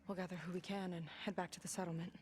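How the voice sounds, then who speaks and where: A woman's voice: on the low side, flat delivery, rapid-fire, natural voice. A woman playing a sincere, capable young ally in a video game, earnest and matter-of-fact. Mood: subdued gratitude. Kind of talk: naturalistic video game dialogue acting.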